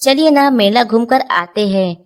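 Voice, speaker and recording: A woman's voice: on the high side, expressive, measured pace, pitched above the performer's own voice. A woman voicing an eager young female bird who coaxes her husband in a children's cartoon. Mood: eager, pleading. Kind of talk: Hindi children's cartoon story.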